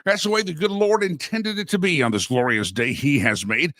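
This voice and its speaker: A man's voice: a little high, fairly quick, bright, forceful tone, dry recording, clean studio sound. A man hosting a casual political talk show, stuffy and a little sore from a head cold, talking in a folksy, chatty way with many asides. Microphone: studio microphone.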